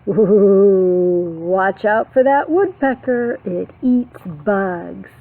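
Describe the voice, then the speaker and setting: A woman preschool teacher reading a picture book aloud to young children, expressive, with simple explanations and questions. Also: mid-range voice, extremely slow. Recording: phone-like narrow band, faint background noise.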